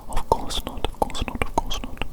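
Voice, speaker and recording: A woman voicing a doting evil doctor in an ASMR medical roleplay, in a breathy, unvoiced whisper, soothingly repeating short phrases. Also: normal speed, lightly acted. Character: doting evil doctor examining the creature they created. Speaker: ASMR performer.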